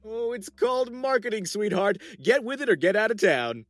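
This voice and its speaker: Smug smarmy company salesman, high voice, swoopy delivery, sci-fi comedy. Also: big pitch swings, moderate pace, no echo. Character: slick, smug corporate robot salesman. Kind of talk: sci-fi comedy audio drama trailer. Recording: music behind the voice.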